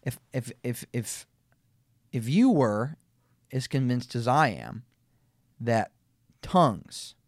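A man's voice: medium pitch, expressive, slow, pauses often, no echo, clean studio sound. A man hosting a debate podcast, laying out his argument emphatically step by step with frequent restarts. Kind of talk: debate conversation.

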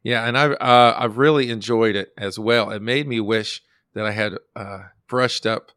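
A man's voice: lowish voice, steady tone, moderate pace, fairly bright tone, no room sound. A man hosting a podcast, chatting in a friendly way and sometimes stopping to hesitate with filler words. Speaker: podcast host. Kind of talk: casual interview.

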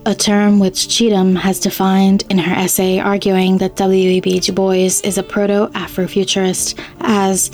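A woman hosting a podcast, reading a scripted introduction in a measured, formal, explanatory manner. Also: medium pitch, a little mellow. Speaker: podcast host. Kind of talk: scripted narration.

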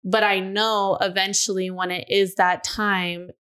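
Woman, twenties, podcast co-host, smooth clear voice, candid, chatty. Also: medium pitch, little pitch movement, fairly bright tone. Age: 20s. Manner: candid, confessional, drawn-out thoughts with frequent "you know". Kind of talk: casual podcast chat.

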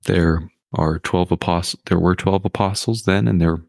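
A man who is a guest on a podcast, speaking in a hesitant, measured, thoughtful way in casual conversation. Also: sits low, fairly even intonation, gravelly, a little mellow, no room sound, clean studio sound.

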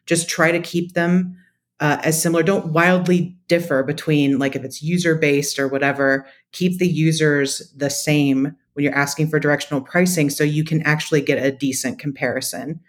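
A woman's voice: sits low, steady tone, moderate pace. A woman speaking as an interview guest, giving conversational, practical advice in a methodical, explanatory way. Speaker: podcast guest, data practitioner.

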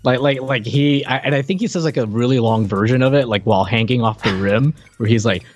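A man co-hosting a podcast, reviewing a game in casual chat and thinking aloud with halting, repeated words. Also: medium pitch, faint music behind. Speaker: podcast co-host. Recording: clearly noisy background with clicks.

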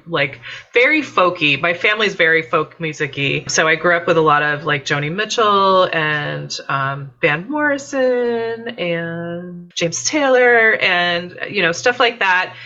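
Woman, 50s, warm-hearted effusive interviewee, lowish pitch, bright tone, muffled podcast sound. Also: a little slow, hardly any pauses. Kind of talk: casual interview chat.

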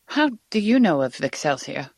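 A woman's voice: deep voice, very animated, moderate pace, no echo, exaggerated voice. An actress playing a poised, persuasive woman scientist, formal and polished, in an audio drama. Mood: intrigued.

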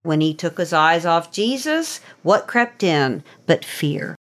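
A woman with a very lively, expressive pitch range teaches like a devotional host. She is earnest and instructive, explaining things conversationally and steering with rhetorical questions. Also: slow. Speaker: host and storyteller.

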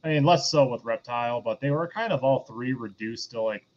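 Dull lofi mic, guy with average pitch explaining stuff on a casual podcast. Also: a little fast. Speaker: podcast panelist. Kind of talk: casual explanatory film discussion.

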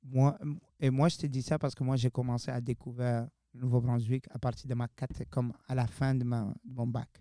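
A young man hosts a relaxed radio chat in a mellow, mid-pitched voice. He sounds enthusiastic and uses many fillers. The recording is dry and close. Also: a little fast, few pauses, no echo. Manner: friendly, enthusiastic, filler-heavy. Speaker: radio show host. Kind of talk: casual radio interview.

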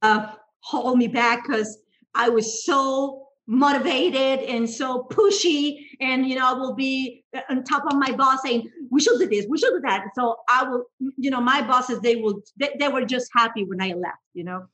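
A woman's voice: sits high, a little slow, fairly bright tone, rough recording. A woman in her thirties from Mexico, speaking English as a second language with a Mexican Spanish accent, candidly telling her life story as an interview guest with frequent restarts.

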